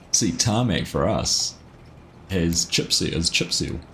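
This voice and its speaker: A man's voice: deep voice, animated, takes it slowly, pushed and bright, slight background noise. A young man with a New Zealand accent, chatting casually and reading chat aloud while livestreaming a farming game. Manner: chatty, laughs at himself, reads chat aloud. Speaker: livestream gaming host. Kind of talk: casual live gameplay chat.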